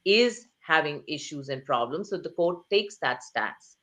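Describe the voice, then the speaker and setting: A woman's voice: low-pitched, expressive, a little slow, fairly bright tone. A woman author speaking earnestly in an interview, stressing points with repetition and rhetorical questions. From Asia. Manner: repeats phrases for emphasis. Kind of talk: conversational interview.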